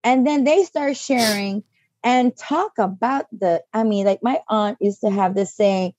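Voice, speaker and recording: A woman from the Virgin Islands talks as a podcast guest, spinning lively stories. Her voice is mellow and dark, recorded dry and close with a slightly dull, muffled band. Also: on the high side, measured pace, no room sound. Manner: lively storyteller, tags lines with "right?". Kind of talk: casual interview chat.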